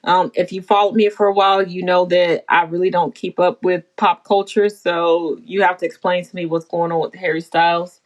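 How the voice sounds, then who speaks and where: A woman's voice: fairly low pitch, little pitch movement, normal speed, few pauses, muffled recording. A brash, playful woman from the Bahamas chatting casually and swearing freely on a livestream. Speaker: livestream host.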